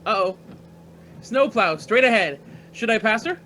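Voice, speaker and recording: An actor playing an eager, carefree young student pilot in a naturalistic radio play, boyish, slangy and enthusiastic. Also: male voice, high-pitched, expressive, measured pace, sharp tone, a little hiss or hum, exaggerated voice, low-quality recording. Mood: chatty, upbeat. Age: young adult.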